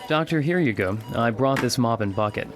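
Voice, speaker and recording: An eager hospital orderly acted by a man in a radio play. His intonation is very animated, with faint music behind it. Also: medium pitch, fairly quick, natural voice. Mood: eager, helpful. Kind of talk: old-time radio drama acting.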